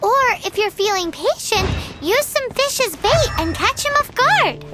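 A woman voicing a tiny, chirpy, bossy floating fairy girl companion in a video game, with a squeaky childlike voice. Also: very animated, unhurried, full character voice. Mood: helpful, chirpy. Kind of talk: video game character dialogue. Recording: game music behind the voice.

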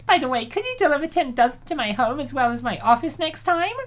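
A woman's voice: high-pitched, animated, fast. A woman voicing a bossy, greedy young office lady in a comic dub, with exaggerated delivery. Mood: eager, pleased.

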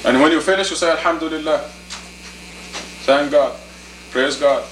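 A man in his fifties giving a sermon as an imam, deliberate and emphatic, in short phrases and rhetorical questions. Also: on the high side, a bit bright. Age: 50s. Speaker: imam, preacher. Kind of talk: sermon, teaching plainly to a congregation. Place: indoor meeting room. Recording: roomy, distant camcorder audio with faint noise.

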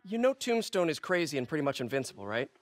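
A young American video game superhero with a lively, animated voice that sits a little high. He is boyish and quippy, earnest and a bit awkward. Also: quick pace, no echo. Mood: playful. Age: young adult. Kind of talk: video game cutscene acting.

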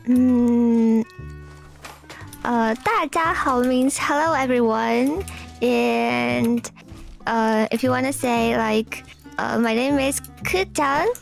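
Hesitant woman, very slow, gravelly fry, high pitch. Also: faint music behind. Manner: hesitant, teacherly, many fillers. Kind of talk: casual gaming chat.

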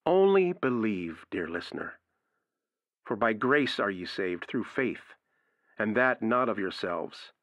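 A man playing an earnest radio preacher in an audio drama, quoting scripture emphatically and deliberately. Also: fairly low pitch, sing-song, a bit bright, dry recording, exaggerated voice. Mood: earnest, assuring.

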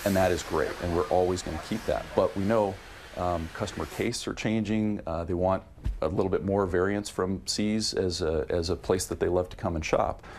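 A middle-aged man with an American accent, a company chief explaining things conversationally and haltingly during a casual tour interview. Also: sits low, steady tone, darkish tone. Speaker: company president and CEO. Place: large indoor candy factory. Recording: noisy factory floor behind voice.

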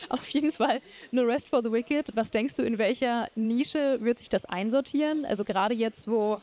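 Measured woman moderating a live games panel. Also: fairly high pitch, warm tone. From Berlin.

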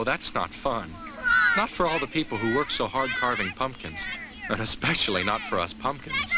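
An actor playing a kindly, earnest talking jack-o'-lantern who narrates a children's safety film with simple, reassuring explanations. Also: male voice, mid-range voice, sharp tone, a little hiss or hum, exaggerated voice, low-quality recording. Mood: worried.

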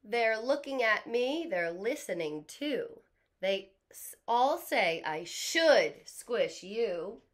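A defiant young storybook boy, acted by a woman, scornful and bossy. The voice is fairly high and swoops widely, leaning slowly and emphatically on each rhyme. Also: sing-song. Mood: insistent. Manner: slow, emphatic, declaiming rhymes.

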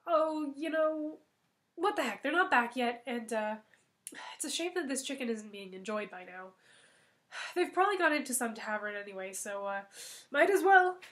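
A woman voicing a sly, greedy young cook who talks breezily and turns shrill when she lies. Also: lively intonation, normal speed, a bit bright. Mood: scheming.

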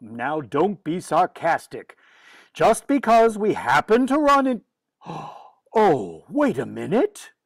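An exasperated, easily flustered dad in a vintage radio comedy, played by a woman. The low voice swings widely in pitch, in a dry, close recording. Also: sing-song, slow, husky, no echo, full character voice. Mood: indignant. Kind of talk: old-time radio comedy acting.

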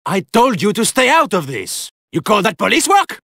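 Stern French inspector, video game character, high-set male voice, swinging pitch, clipped and commanding, French accent. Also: huge pitch swings, normal speed, vocal fry, sharp tone, no echo, studio quality, exaggerated voice. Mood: urgent, commanding. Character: stern, proud, dogged Parisian male police inspector.

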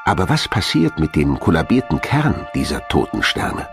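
A man's voice: a bass voice, very animated, moderate pace, vocal fry, over music. A man reading scripted science documentary narration as a studio voice-over.

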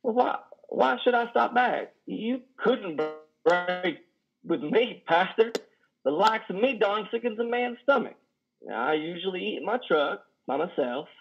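An actor in his thirties playing a lonely, awkward trucker in a play reading, halting, stammering and folksy. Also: sits high, expressive, creaky, breathy edge, soft background music, full character voice. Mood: flustered, self-deprecating. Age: 30s. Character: lonely, awkward, self-deprecating trucker, plain-spoken and folksy. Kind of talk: play reading over video call. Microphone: headset on a video call. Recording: dull video-call audio.

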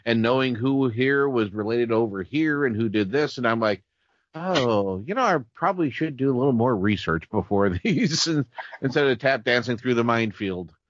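A man's voice: mid-range voice, lively intonation, moderate pace, no echo. A man co-hosting a podcast in a casual, joking style. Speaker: podcast co-host. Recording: dull lo-fi sound.

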